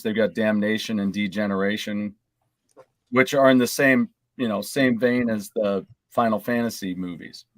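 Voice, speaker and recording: A man's voice: lowish voice, no room sound. A man chatting casually and teasingly as a panelist on a video game podcast. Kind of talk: casual chat.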